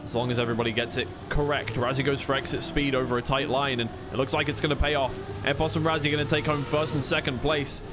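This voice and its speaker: A man's voice: medium pitch, steady tone, fast, few pauses, music in the background. A man doing live esports play-by-play commentary in a conversational way, thinking aloud as he goes.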